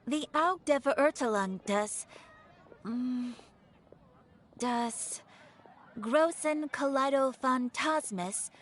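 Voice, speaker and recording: A woman voicing a polite young female receptionist in a video game, courteous and measured. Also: high voice, slight background noise. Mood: stilted grandiose recital. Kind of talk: English video game dub.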